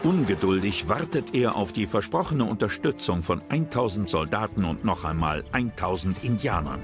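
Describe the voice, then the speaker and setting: A man's voice: on the low side, lively intonation, normal speed. A man reading scripted historical narration for a documentary. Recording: music behind the voice.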